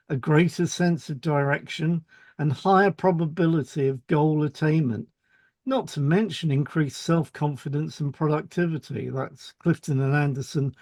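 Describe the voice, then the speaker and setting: A man's voice: a little high, a little mellow, no echo. A man co-hosting a podcast, reading explanatory script and introducing topics with short conversational links. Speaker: podcast co-host, hypnotherapist.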